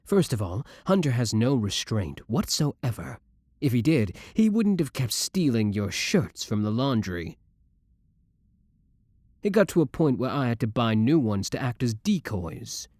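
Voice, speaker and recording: A man's voice: mid-range voice, big pitch swings, a little slow, darkish tone, no echo, studio quality. A young man acting in an audio roleplay as a clingy, obsessive boyfriend, theatrically doting and smug, scornful of his rival.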